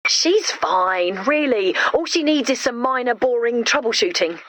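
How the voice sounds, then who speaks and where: A woman's voice: fairly high pitch, expressive, unhurried, heavy background noise. A woman playing an eager, kindhearted young inventor in a video game, bubbly and theatrical. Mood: earnest, defensive. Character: eager, kindhearted young female inventor, excitable and well-meaning. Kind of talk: animated video game character dialogue.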